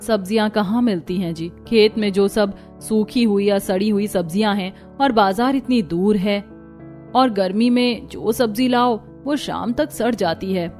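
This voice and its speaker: A woman's voice: mid-range voice, unhurried, a little mellow, soft background music, close to an everyday voice. A woman voicing a hard-pressed young village daughter-in-law in a cartoon, plaintive and respectful. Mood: defensive.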